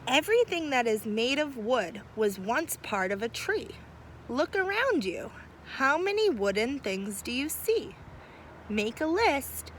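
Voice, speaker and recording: Slow-reading woman teacher, storytime voice. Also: high-pitched, a little hiss or hum. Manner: slow, warm storytime delivery with lively asides. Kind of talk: children's read-aloud. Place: outdoors near trees.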